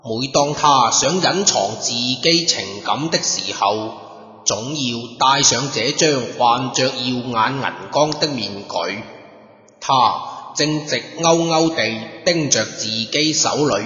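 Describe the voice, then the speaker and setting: A man reading a literary passage aloud in a formal, deliberate manner. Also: mid-range voice, bright, forceful tone, faint music behind. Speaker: reader of a book passage. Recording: dull, echoey long hall reverb.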